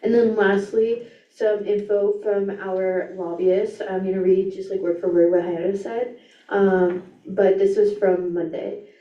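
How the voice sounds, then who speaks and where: A woman's voice: medium pitch, little pitch movement, measured pace. A young woman giving a legislative report at a student meeting, casual and reading from notes with ums and small slips. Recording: echoey room, distant mic, dull sound.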